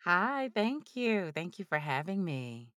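A woman speaks as an interview guest in a conversational, storytelling style. Her voice is low for a woman but swings widely in pitch, giving it an animated feel. The recording is dry and close. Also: slow, dry recording. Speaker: audiobook narrator, interview guest.

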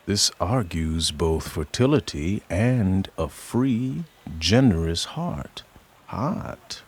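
An actor playing a jealous, suspicious army general in a radio play, speaking slowly and intensely, with sudden whispers and shouts. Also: male voice, low-pitched, expressive, slightly rough, exaggerated voice.

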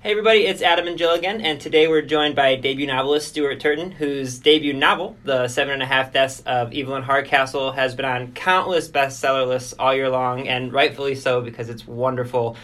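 A man's voice: mid-range voice, fairly even intonation, fairly bright tone. Upbeat male podcast host. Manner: upbeat, enthusiastic, quick asides. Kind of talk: casual podcast chat.

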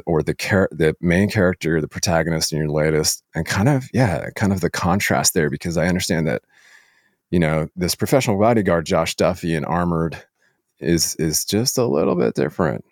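A man's voice: low voice, no echo, clean studio sound. A man hosting a casual interview, asking rambling questions that he rephrases as he goes. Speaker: podcast host, interviewer.

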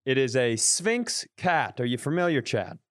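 A man's voice: mid-range voice, very animated, unhurried, no room sound, studio quality. A man co-hosting a podcast in casual comedic chat, telling exaggerated stories with plenty of swearing. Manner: wry, exaggerated storytelling with profanity. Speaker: podcast co-host.

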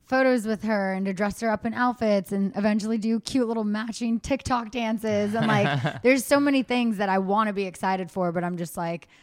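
A woman's voice: medium pitch, moderate pace, few pauses, no echo. A pregnant woman hosting a podcast, chatting candidly as she answers fan questions. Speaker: podcast host. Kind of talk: casual chat.

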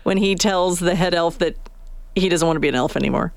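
A woman's voice: lowish voice, fairly quick. Woman, casual radio host. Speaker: radio morning show host. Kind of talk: casual interview and chat. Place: radio studio. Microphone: studio microphone.

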